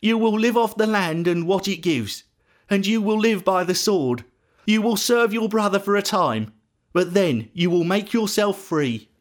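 A man's voice: high-pitched, unhurried, pitched above the performer's own voice. A man voicing an elderly, grave and suspicious father in a Bible story, with a voice that quavers. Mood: grave, resigned. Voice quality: quavering when upset.

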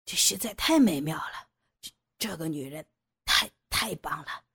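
A woman voicing a forceful, gloating adult man in a lowered, breathy voice. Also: lively intonation, measured pace, heavily acted. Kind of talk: audio drama reading.

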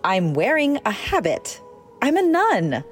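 A woman's voice: on the high side, huge pitch swings, measured pace, faint music behind. A woman playing an exasperated, scornful nun in a comedy audio drama, curt and sarcastic in her delivery. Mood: surprised, guarded. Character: exasperated, sharp-tongued pregnant young nun, scornful.

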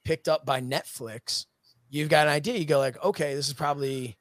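A man's voice: on the high side, fairly even intonation, brisk, a bit bright, no echo. A man in his late thirties, a guest on a casual podcast, explaining his plans earnestly and at length with many "you know"s. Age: 30s. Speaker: guest, streaming network executive.

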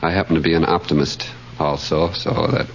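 Deep-voiced young American man, old radio. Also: fairly even intonation, fairly quick. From California, USA. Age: 20s. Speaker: contestant, optometrist. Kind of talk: answering a quiz host's questions. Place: radio studio with audience. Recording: muffled old radio recording, noisy studio crowd. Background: loud audience laughter, noise.

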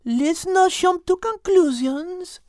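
A woman voicing a rational, fussy female animal in an audiobook story, pedantic, measured and precise. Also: very high-pitched, darkish tone, no room sound, studio quality. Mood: calm, insistent. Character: rational, fussy French-speckled female animal, overthinking know-it-all. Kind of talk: animal story character acting.

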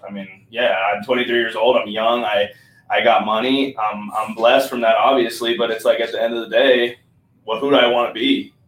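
A man's voice: medium pitch, steady tone, normal speed, fairly bright tone. A young man in his twenties talks candidly and reflectively, hedging with many fillers. His medium voice bounces around an echoey room. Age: 20s. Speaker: guest athlete. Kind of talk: candid podcast chat.

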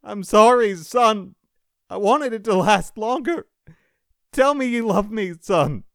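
A man's voice: mid-range voice, very animated, measured pace, no room sound, studio quality, voice pushed up. A man voicing a tender, earnest father who is desperately attached to his young son, in an audiobook story. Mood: desperate, begging. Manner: emotional, earnest.

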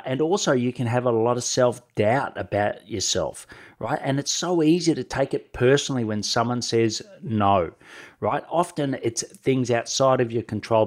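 A middle-aged man with a head cold and a nasal voice, giving conversational advice as a solo podcast host, talking directly to the listener and often checking in with short tag questions. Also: mid-range voice. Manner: direct address with frequent tag questions like "right?". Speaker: podcast host and sales coach.

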